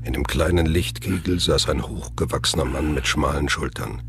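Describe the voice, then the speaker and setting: A man's voice: extremely low pitch, normal speed, vocal fry, a bit bright. A man giving scripted narration for a thriller audio drama. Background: music.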